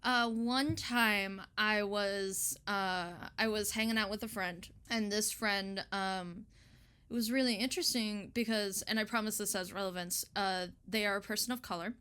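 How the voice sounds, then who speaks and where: A young woman with a bright, forward voice talks casually, as if among friends. Her manner is animated and slangy, and she swears freely. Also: medium pitch, normal speed, clear, resonant tone. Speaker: interviewee artist. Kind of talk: casual chat.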